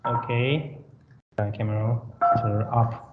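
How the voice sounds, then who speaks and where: Male product presenter, slow and halting non-native English with repeats; dull, echoey room recording with faint noise. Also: mid-range voice, steady tone. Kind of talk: live product demonstration. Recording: roomy echo, dull sound, faint noise.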